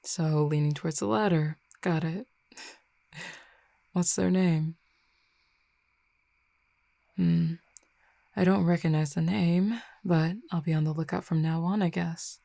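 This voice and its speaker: Woman as a low, gravelly flirty werewolf. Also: no room sound, exaggerated voice. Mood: relaxed, attentive. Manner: relaxed, teasing, affectionate, unhurried. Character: flirty, easygoing female werewolf pack member, confident and teasing. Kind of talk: intimate roleplay audio drama, casual one-sided conversation.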